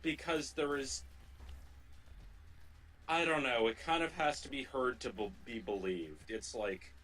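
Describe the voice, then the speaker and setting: A man co-hosting a gaming livestream, chatting casually in a rambling, halting way that often circles back and repeats phrases. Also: medium pitch, a bit bright, rough recording. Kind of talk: casual chat during gameplay.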